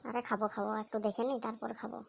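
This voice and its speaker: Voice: mid-range voice, little pitch movement, rapid-fire, creaky. A performer voicing a crude, cocky young male slacker with slangy, foul-mouthed banter in a comedy cartoon. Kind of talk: amateur comic cartoon dubbing. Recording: slightly noisy amateur cartoon dub.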